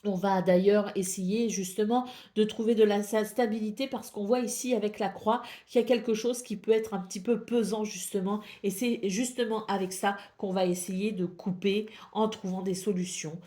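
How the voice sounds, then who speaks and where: A woman with a French accent reads a weekly horoscope from her tarot cards. She has a head cold and talks in a hesitant way, with frequent fillers. Her voice sits at a middle pitch. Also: fairly even intonation, moderate pace, hardly any pauses, warm tone. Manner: hesitant, many fillers and repeated maybe. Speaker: tarot reader. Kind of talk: weekly horoscope card reading.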